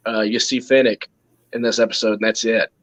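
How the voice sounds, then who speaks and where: A man co-hosting a live fan show, his voice a little off from throat drainage, talking haltingly with many restarts. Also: lowish voice, flat delivery, rough recording. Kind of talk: casual live-stream chat.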